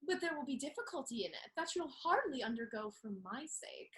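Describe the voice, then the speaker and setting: Woman playing a high, lively, saucy young noblewoman. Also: brisk. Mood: coy challenge. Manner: teasing, saucy repartee. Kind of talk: Restoration comedy stage acting.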